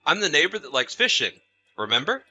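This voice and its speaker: A man's voice: on the high side, big pitch swings, brisk, pushed and bright, faint music behind, exaggerated voice. A man playing an awkward neighbor with a complaint in a scripted audio story, hesitant and tactful but insistent. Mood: friendly, hesitant. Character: awkward, tired male neighbor making a complaint.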